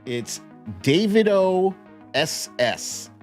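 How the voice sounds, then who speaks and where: A man's voice: high voice, expressive, very slow, soft background music. A man hosting a live news commentary show in an informal, wry, comedic way, often chuckling as he talks. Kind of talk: casual comedic political news commentary livestream.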